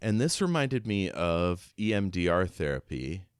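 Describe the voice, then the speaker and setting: A man's voice: deep voice, very animated, measured pace, clear, resonant tone, dry recording, clean studio sound. A man co-hosting a podcast, talking through a book in a casual, reflective, conversational way. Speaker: podcast co-host.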